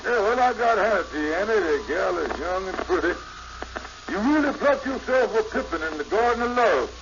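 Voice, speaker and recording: A man's voice: high-pitched, lively intonation, moderate pace, fairly bright tone. A man acting a folksy, teasing married buddy with slangy, ungrammatical talk in a 1950s radio sitcom. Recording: dull, noisy 1950s radio broadcast.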